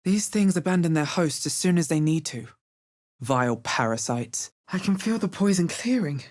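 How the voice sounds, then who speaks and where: A woman playing a wary, hard-bitten young adventurer in a fantasy video game, with a deep, husky voice and a guarded, terse delivery. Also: lively intonation, normal speed, a little mellow. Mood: guarded, observant. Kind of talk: scripted video game character voice lines.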